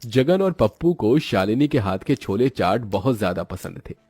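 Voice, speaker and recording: A man's voice: on the low side, big pitch swings, moderate pace, a little mellow, husky, no echo. A man narrating a scripted children's moral story in Hindi.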